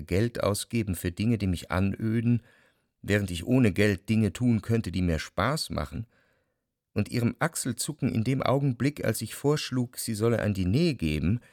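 A man's voice: lowish voice, no echo, studio quality. An actor playing the introspective narrator of a literary radio drama, a tormented, jealous lover who reflects precisely and expressively on his past. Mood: haunted. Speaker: first-person narrator.

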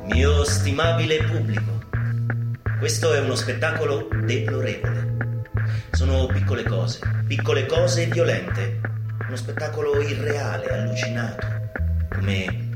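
Man playing a brazen showman, echoey, over music. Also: lightly acted. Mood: provocative. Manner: declamatory, provocative, dramatic pauses. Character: brazen theatrical showman presenting a subversive guerrilla show. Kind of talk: scripted theatrical monologue over live music. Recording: echoey stage sound under loud live music. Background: live bass and guitar music.

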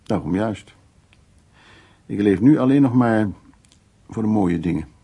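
An actor playing a worldly, lonely, insistent suitor in a naturalistic radio drama, musing and teasing in a husky, gravelly voice. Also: male voice, lowish voice, expressive, a little slow, dark tone, full character voice. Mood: teasing, persuasive. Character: worldly, insistent, lonely man courting a younger woman.

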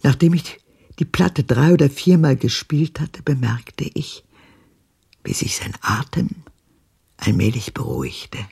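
An actress playing an embittered old woman who recalls her past with dramatic intensity in a radio drama, her voice husky and gravelly. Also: rumbling low, expressive, unhurried, a little mellow, heavily acted. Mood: brooding, haunted. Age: older.

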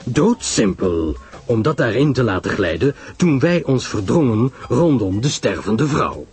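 A man voicing a shrewd, courteous, self-assured detective in an audiobook story, husky, deliberate and emphatic in explaining. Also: mid-range voice, sing-song, darkish tone, faint music behind, exaggerated voice. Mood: explanatory, assured.

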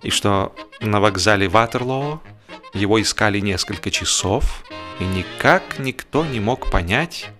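Low-voiced man narrating a humorous novel. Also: unhurried, soft background music. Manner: expressive, literary storytelling. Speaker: audiobook narrator.

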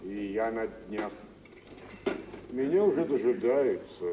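A rich older merchant, dignified and shrewd, in an old radio play. He talks in a weighty, condescending way at a middling pitch. The sound is dull, with a long hall reverb. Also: expressive, takes it slowly, noisy background. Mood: casual. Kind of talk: 1950s radio stage drama acting.

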